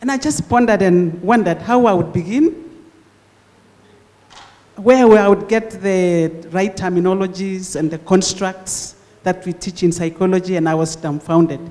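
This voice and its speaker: An older woman speaking into a handheld microphone in a large lecture hall, hosting a conference and reflecting before she introduces the speaker in a measured, formal way. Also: on the low side, a little mellow. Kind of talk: formal public address. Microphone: handheld mic. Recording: long hall reverb.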